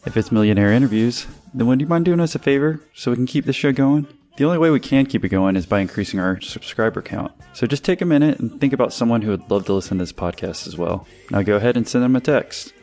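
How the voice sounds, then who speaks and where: A man's voice: medium pitch, lively intonation, fast, hardly any pauses, darkish tone, soft background music. A man hosting a podcast interview in a casual, conversational style.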